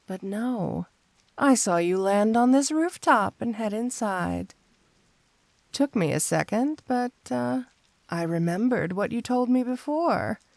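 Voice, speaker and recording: A woman's voice: medium pitch, darkish tone, no echo. A woman playing a flirty, teasing supervillain cat burglar in an intimate ASMR roleplay, speaking coyly to the listener. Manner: coy, teasing, intimate, unhurried.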